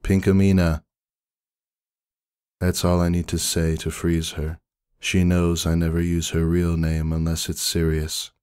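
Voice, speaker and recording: A man voicing a stoic older sister pony, narrating a story in a terse, deadpan way. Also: low-pitched, measured pace, clean tone, no echo, clean studio sound. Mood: stoic, somber. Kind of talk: dramatic first-person story reading.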